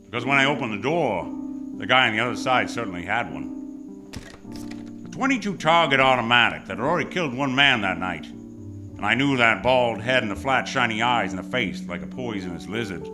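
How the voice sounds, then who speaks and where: A man's voice: mid-range voice, big pitch swings, fairly quick, over music, natural voice. An actor with an American accent playing a tough, wisecracking hardboiled hero who tells his story in a noir radio drama, wry and terse. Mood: tense narration. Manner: wry, terse, world-weary. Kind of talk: first-person noir radio drama acting.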